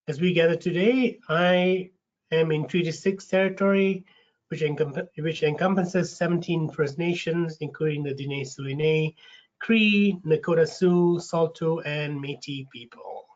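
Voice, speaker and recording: A man with a slight rasp from a cold hosts an online info session, friendly, with lots of ums and restarts. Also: a little high, fairly even intonation, unhurried. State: getting over a cold, a bit raspy. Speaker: webinar host, recruitment manager. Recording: dull lo-fi webinar audio.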